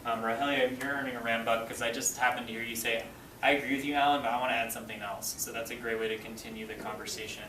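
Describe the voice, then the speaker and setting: A man's voice: mid-range voice, steady tone, fast, pushed and bright. A man working as an elementary school teacher, giving step-by-step instructions and reading aloud to a class. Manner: clear step-by-step directives, checking understanding. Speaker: fifth grade teacher. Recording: roomy classroom, distant mic, faint noise.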